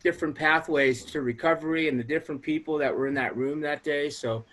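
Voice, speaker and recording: A man's voice: fairly high pitch, fairly quick, fairly bright tone. A man, a recovery coach and guest, talking earnestly and with energy in a casual interview. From Long Island, New York.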